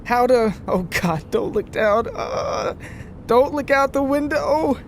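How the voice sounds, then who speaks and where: Man acting a high-pitched, panicky nervous flyer. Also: takes it slowly, a little hiss or hum, exaggerated voice. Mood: panicked. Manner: stammering, panicky. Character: nervous flyer Robert, frightened, shaky American-bound plane passenger.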